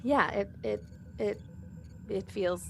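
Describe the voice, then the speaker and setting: A woman's voice: mid-range voice, slow, a little hiss or hum. A woman chatting casually as she moderates a group podcast, with an informal, slightly hesitant, giggly way of talking. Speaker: podcast cast member moderating fan questions.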